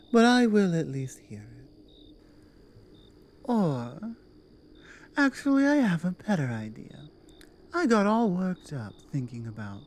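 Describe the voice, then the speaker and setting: Woman acting a slow, commanding orc queen. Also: rumbling low, warm tone, exaggerated voice. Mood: guarded, softening, then sultry, teasing. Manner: slow, deliberate, imperious delivery with pauses. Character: commanding, distrustful orc queen, seductive and ruthless. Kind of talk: intimate ASMR roleplay.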